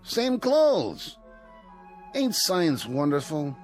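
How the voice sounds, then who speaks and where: An actor playing a breezy, dim-witted escaped convict in an old American TV drama, folksy and halting. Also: male voice, a little high, big pitch swings, faint music behind, heavily acted. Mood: delighted. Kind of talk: 1960s American television drama acting.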